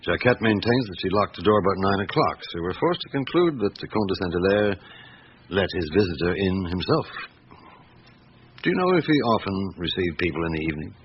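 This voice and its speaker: An actor playing a patient, probing police inspector in a vintage radio drama, deliberate and matter-of-fact with thoughtful hesitations. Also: male voice, sits low, big pitch swings. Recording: dull, hissy old radio broadcast.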